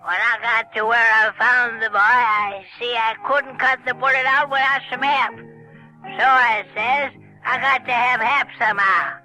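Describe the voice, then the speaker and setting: An old country doctor with a Southern accent in an audio play. His voice is very high-pitched and piercingly bright, and he speaks plainly and earnestly, with a kindly bent. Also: fairly even intonation, unhurried, faint music behind, heavily acted, rough recording. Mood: earnest, pleading. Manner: earnest, folksy testifying. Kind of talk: audio theater acting.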